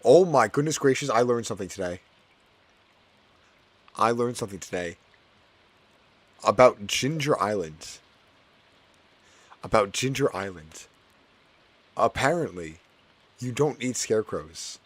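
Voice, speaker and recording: A man with a hoarse, cracking voice chatting casually while playing a farming game, often repeating his own phrases. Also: mid-range voice, lively intonation, measured pace, pauses often. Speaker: gaming streamer. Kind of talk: casual gameplay commentary.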